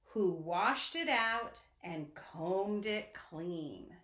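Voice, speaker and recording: Animated, slow-paced woman reading to children. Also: lowish voice. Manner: expressive, sing-song, addressing children directly. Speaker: storyteller reading to young children. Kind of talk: children's picture-book read-aloud. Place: indoor room at home. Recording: echoey room.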